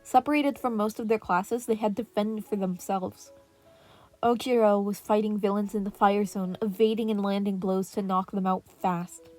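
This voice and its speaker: A woman's voice: medium pitch, fairly even intonation, moderate pace, darkish tone. A woman reading scripted fan-fiction narration as a podfic narrator, with relaxing piano music behind her. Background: relaxing piano and birdsong music.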